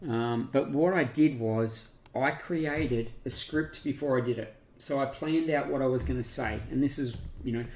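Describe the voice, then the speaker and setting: An Australian man giving an unscripted software walkthrough, talking through each step haltingly as he thinks aloud. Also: medium pitch, rough recording. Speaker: software tutorial presenter. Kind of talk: unscripted screen-share walkthrough.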